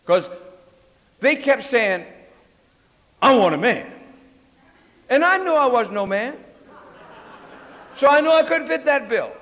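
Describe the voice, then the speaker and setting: A man's voice: extremely high pitch, fairly bright tone, long reverb tail, highs cut off. An older man telling his personal story at a recovery meeting, candid and joking, acting out the voices of others.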